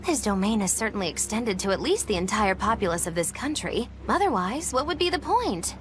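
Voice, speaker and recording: An actress voicing a wry, worldly witch in a video game, coolly ironic, measured and declamatory. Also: medium pitch, expressive, a little hiss or hum, natural voice. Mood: matter-of-fact. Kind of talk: dramatic English game voice acting.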